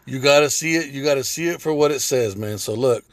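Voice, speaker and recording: A man's voice: medium pitch, brisk, no room sound. A man giving a blunt, profane religious commentary in a casual ranting style, swearing heavily.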